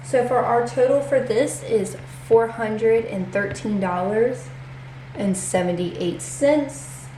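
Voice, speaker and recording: A woman's voice: fairly high pitch, slow, warm tone, reverberant, a little hiss or hum, rough recording. A congested woman hosting her own channel, chatting casually as she walks through her budget and reads out figures.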